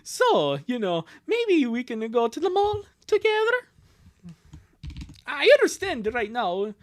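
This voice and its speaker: A man's voice: extremely high pitch, huge pitch swings, slow, pitched above the performer's own voice, exaggerated voice. A man voicing a shy, lovestruck teenage boy, hesitant and apologetic, in a cartoon fan dub. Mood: flustered, apologetic.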